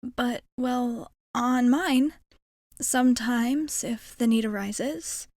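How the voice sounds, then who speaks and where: A woman's voice: fairly high pitch, very slow, darkish tone, dry recording, clean studio sound. A woman playing a shy, nervously hopeful young alien space traveler in an ASMR roleplay, rambling hesitantly and putting herself down. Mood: shy, wistful. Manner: hesitant, rambling, self-effacing. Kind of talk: ASMR roleplay, intimate character monologue.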